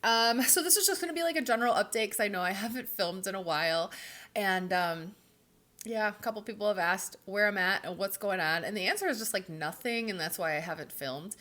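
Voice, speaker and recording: A woman's voice: medium pitch, fairly quick, a bit bright. A woman giving a rambling, casual vlog update, her voice stuffy from a cold and full of fillers. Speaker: vlogger.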